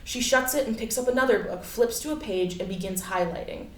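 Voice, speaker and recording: A woman's voice: fairly high pitch, a little fast. A woman reading scripted stage directions as narration at a staged play reading. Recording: echoey room, far from the mic.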